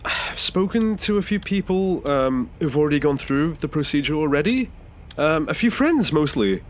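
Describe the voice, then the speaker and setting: A man's voice: a little high, sing-song, normal speed. A man playing a nervous, earnest passenger in an audio drama, speaking hesitantly with small stammers. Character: nervous ageing male passenger seeking a new body. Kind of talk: naturalistic audio drama acting.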